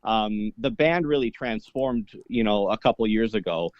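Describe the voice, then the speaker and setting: A man's voice: lowish voice, normal speed, no echo. A young man whose first language is Polish chats easygoing and rambling as a guest, his speech full of fillers and restarts. The sound is dull and lo-fi, and very dry. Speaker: guest, Orthodox priest and polka singer. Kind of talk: casual interview chat.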